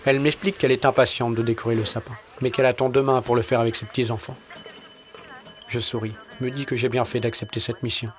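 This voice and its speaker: A man reading a scripted first-person short story in a studio, with an understated, deadpan and colloquial delivery. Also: medium pitch, fast talker. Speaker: narrator of his own audio short story. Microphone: studio recording.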